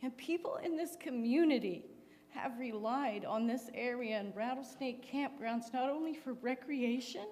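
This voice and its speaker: A woman's voice: on the high side, moderate pace, a little mellow. A woman, a conservation advocate, giving an earnest, story-like public comment at a forum. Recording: reverberant hall with faint background noise.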